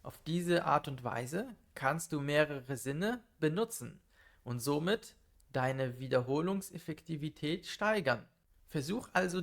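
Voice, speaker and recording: Man with a gravelly, fairly high voice. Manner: calm, methodical, lists numbered tips. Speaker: German teacher. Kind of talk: slow, clear teaching monologue for learners.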